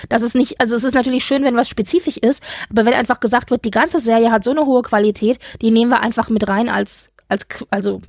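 A woman's voice: fairly high pitch, steady tone, quick pace, few pauses, no echo, clean studio sound. A woman chatting casually as a podcast co-host, talking in an emphatic, enthusiastic way. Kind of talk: casual fan podcast chat.

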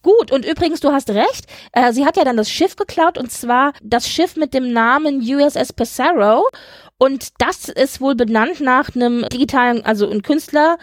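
Chatty woman podcaster, highish voice, super into it, really dry recording. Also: normal speed, no echo. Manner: enthusiastic, chatty. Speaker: podcast co-host. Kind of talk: casual fan chat recapping a TV episode.

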